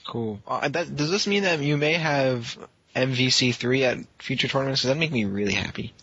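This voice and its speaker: Husky, casual male podcast host. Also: medium pitch, normal speed, sharp tone, no room sound, muffled recording. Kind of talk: casual chat.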